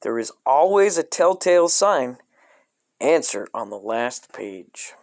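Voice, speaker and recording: A man reading a dark comic coloring book aloud in a deadpan way, breaking off into profane asides. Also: mid-range voice, slow, no room sound, clean studio sound.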